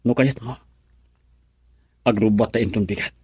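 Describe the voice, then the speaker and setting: An actor playing a defiant, earnest young son and sweetheart in a Filipino radio drama, with a husky voice and Filipino accent. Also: male voice, on the low side, fairly even intonation, normal speed, a little mellow, no echo, studio quality. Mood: persuasive. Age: young adult.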